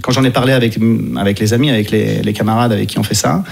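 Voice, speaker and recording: A man's voice: lowish voice, fairly even intonation, quick pace, creaky. A man in his thirties from Italy, speaking as an interview guest in a casual, meandering way and often rephrasing as they go. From Italy, born to French parents. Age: 30s. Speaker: interview guest, actor and stage director.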